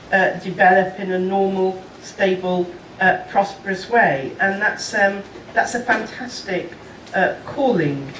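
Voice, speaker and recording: A woman diplomat gives measured, halting remarks at a panel, filling her pauses with small hesitations. She sounds some distance from the microphone in a large, echoey conference room. A faint hum sits underneath. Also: mid-range voice, a bit bright. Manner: measured, hesitant, many fillers. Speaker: diplomat at a national mission.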